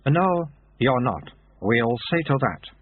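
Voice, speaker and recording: A man's voice: mid-range voice, big pitch swings, takes it slowly, slight background noise. A man voicing a mysterious recruiter from a secret order of monks, smug and patiently teasing. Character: mysterious dry-witted male recruiter monk. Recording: tinny phone-like sound.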